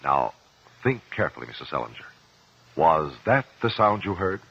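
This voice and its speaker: A muffled old radio drama recording of a composed male investigator. He has a slightly rough voice and an American accent, and he questions formally and precisely, weighing each phrase. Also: rumbling low, sing-song, moderate pace, a bit bright, heavily acted. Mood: calm, probing. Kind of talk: 1950s radio drama acting.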